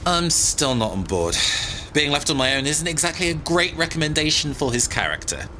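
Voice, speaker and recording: Lively, hesitant tech guy. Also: a little high, moderate pace, slight background noise. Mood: suspicious, aggrieved. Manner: hesitant, chatty, slightly awkward. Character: hesitant, suspicious, mild-mannered male tech guy. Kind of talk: science fiction audio drama acting.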